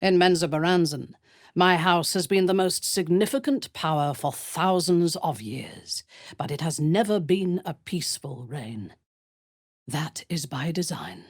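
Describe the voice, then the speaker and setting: A woman playing a ruthless, haughty dark elf warrior noble in a video game, speaking with deliberate menace. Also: low voice, expressive, dry recording, clean studio sound. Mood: grave, imperious. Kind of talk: video game character acting.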